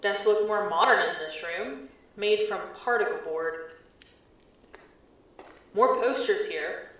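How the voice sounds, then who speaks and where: A woman's voice: medium pitch, slow pace, a bit bright. A woman playing a wary field agent in an audio drama, reporting tersely and conversationally as she explores an eerie building over a radio link. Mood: wary, observant. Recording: echoey, distant, muffled transmission.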